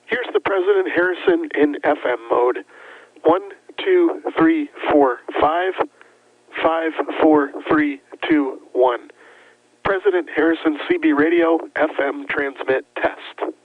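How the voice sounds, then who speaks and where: An American man making deliberate test announcements, heard through a two-way radio transmission. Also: on the high side, dry recording, phone-quality sound. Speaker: radio tester. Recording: received radio signal with hum and hiss.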